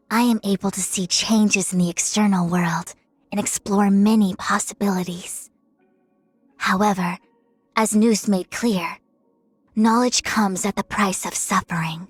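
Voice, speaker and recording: A woman's voice: medium pitch, slightly rough, dry recording. A woman playing a proud young female diviner in a video game, speaking in composed, formal, lofty phrases. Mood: solemn, reflective. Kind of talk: scripted game character voice lines. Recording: studio-dry voice over music. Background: music.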